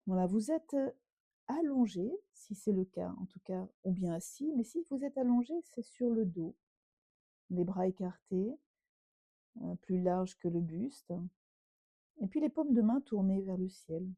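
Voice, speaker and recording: A woman yoga teacher leading a guided relaxation meditation in a gentle, unhurried, instructing way with pauses. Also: mid-range voice, lively intonation, dry recording.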